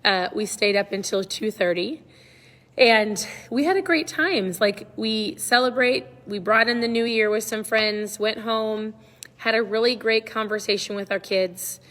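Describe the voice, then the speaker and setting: A middle-aged woman with a slightly hoarse voice, chatting casually and encouragingly to viewers as the host of a livestream. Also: medium pitch, unhurried, a bit bright, in a large hall. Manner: upbeat, encouraging, conversational. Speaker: online coach hosting a live check-in.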